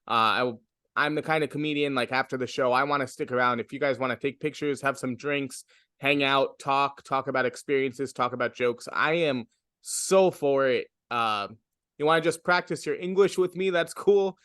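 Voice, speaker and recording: A young man talks things through slowly in a relaxed interview, searching for his words and starting over often. His voice sits at an ordinary middle pitch. The sound is very dry and close. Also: clear, resonant tone, dry recording. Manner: reflective, halting, full of fillers and restarts. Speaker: comedian. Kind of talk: casual interview.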